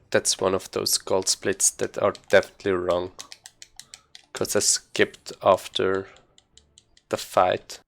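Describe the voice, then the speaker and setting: A man giving casual live commentary as he plays a game, haltingly thinking aloud. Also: fairly low pitch, creaky, fairly bright tone. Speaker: speedrunner commentating gameplay.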